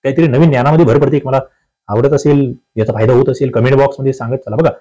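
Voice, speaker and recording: Male coaching teacher, Maharashtrian accent, soft mellow tone, instructive and emphatic, walks through quiz questions. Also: mid-range voice, steady tone, fast, highs cut off. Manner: instructive, emphatic, often asks rhetorical questions. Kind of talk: quiz-paper lesson, reading questions and answers aloud.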